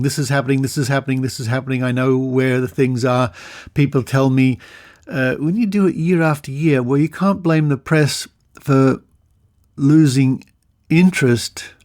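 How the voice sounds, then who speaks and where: A man with an Australian accent presenting a podcast conversationally, with deliberate pauses and drawn-out emphasis. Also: mid-range voice, a little mellow. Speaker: podcast host.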